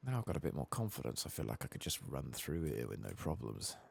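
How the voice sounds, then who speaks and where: Male gaming streamer, soft-spoken and half-whispered, gravelly with lively swings in pitch, casually sweary running murmur. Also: quick pace, no room sound. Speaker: streamer playing a video game.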